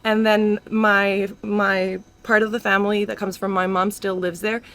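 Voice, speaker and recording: A woman's voice: medium pitch, flat delivery, moderate pace, a bit bright. A young woman from Spain with an American New Jersey accent, talking casually as an interview guest with many fillers. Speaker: guest, teacher and former boxer.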